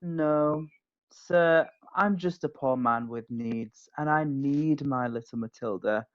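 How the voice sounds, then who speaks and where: A woman's voice: very deep voice, slow pace, highs cut off. A woman playing a selfish medieval husband who pleads in a whining way to get his wife back. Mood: pleading.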